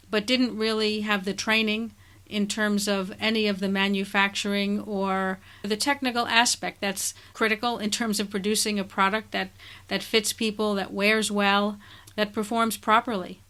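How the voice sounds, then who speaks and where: A woman hosting a podcast interview, speaking in a measured, thoughtful way. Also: medium pitch, flat delivery, bright, forceful tone, clear, resonant tone. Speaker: podcast host. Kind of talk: conversational interview.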